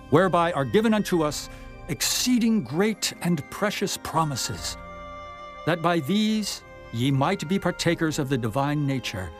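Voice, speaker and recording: A man narrates scripture as a dramatized reading. His voice sits somewhat higher than average for a man, and he phrases each clause solemnly, with measured emphasis. Music plays faintly behind him. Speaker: Bible narrator. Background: background music.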